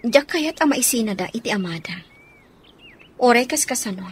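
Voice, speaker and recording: A woman with an Ilocano accent acting as a long-suffering betrayed wife and mother in a radio drama, her voice slightly husky. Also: on the high side, animated, normal speed. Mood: hurt, resigned.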